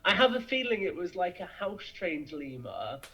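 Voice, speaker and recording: Higher-voiced young British man, slightly muffled audio. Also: very animated, moderate pace. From United Kingdom. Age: young adult. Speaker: podcast guest. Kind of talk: casual chat.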